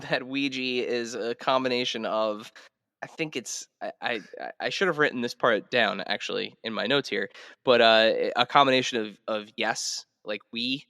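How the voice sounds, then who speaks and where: A man hosts a relaxed history chat on a podcast. His voice sits at an average pitch with a long reverb tail. He explains things conversationally, often halting and regrouping as he goes. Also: clean studio sound. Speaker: podcast host. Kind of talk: casual podcast talk telling history.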